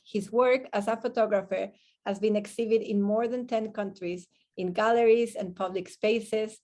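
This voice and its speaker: A woman hosts a panel discussion. She speaks at a medium pitch, reading a structured speaker biography in a formal presenting style. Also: steady tone, moderate pace, darkish tone. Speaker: panel moderator.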